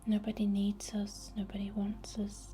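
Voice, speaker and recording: A woman whispering a ritual ASMR incantation in a breathy, deliberate way. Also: mid-range voice, monotone, mellow, soft background music. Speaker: ASMR witch and Reiki healer.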